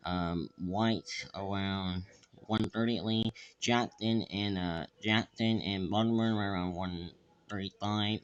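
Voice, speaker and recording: A man giving improvised live weather commentary, halting and repetitive, stumbling over phrases and place names. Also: on the low side, clean tone. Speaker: livestream weather host. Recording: dull lo-fi microphone sound.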